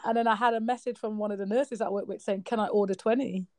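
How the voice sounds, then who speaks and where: A woman's voice: medium pitch, fast, no echo, clean studio sound. A middle-aged woman born in Trinidad and raised in the UK, with a British accent that still carries a Trinidadian lilt. She tells food stories as a podcast guest and acts out the voices of her family. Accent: British with Trinidadian traces. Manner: animated storyteller who acts out family voices. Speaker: podcast guest, nurse and cook. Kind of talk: casual interview chat.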